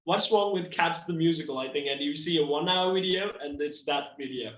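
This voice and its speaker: High-voiced man on a distant, echoey call. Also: a little fast. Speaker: panelist. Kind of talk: casual chat. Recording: roomy, distant, dull remote audio.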